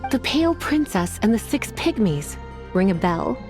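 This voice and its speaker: A woman's voice: medium pitch, big pitch swings, moderate pace, music in the background, lightly acted. An actress playing a languid, teasing witch librarian with a sultry, lazily flirtatious manner, in a video game. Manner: sultry, playful and lazily flirtatious, calls people cutie.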